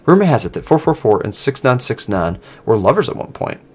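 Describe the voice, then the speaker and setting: A man voicing an earnest informant in online horror fiction, recounting old rumors in a measured way. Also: mid-range voice, sing-song, a little mellow, husky.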